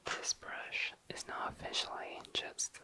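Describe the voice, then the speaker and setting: An ASMR host chatting casually to viewers in a hushed, breathy, unhurried whisper. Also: poor audio. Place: tabletop indoors.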